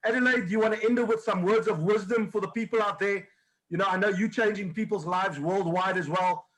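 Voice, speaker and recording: High-voiced man hosting a motivational call. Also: fairly quick, a bit bright, poor audio. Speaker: host of a video call. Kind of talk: motivational presentation. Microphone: video call.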